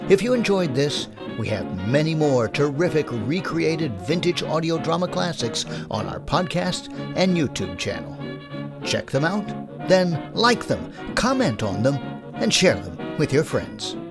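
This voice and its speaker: A man's voice: fairly high pitch, very animated, unhurried. A man from the United States hosting, reading a scripted podcast outro as the show's director. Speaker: director and host. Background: music.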